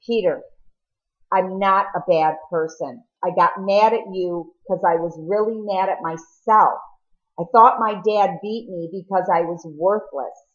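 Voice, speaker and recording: A woman's voice: on the low side, a little slow, dull audio, lightly acted. Woman reading as a remorseful, earnest teenage boy. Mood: pleading.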